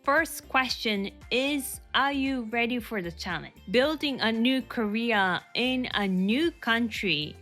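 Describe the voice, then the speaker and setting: Japanese-accented lady, middle-aged, upbeat earnest career advice, soft music underneath. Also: fairly high pitch, animated, takes it slowly, few pauses. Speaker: nurse practitioner and career mentor, host. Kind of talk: advice talk to camera.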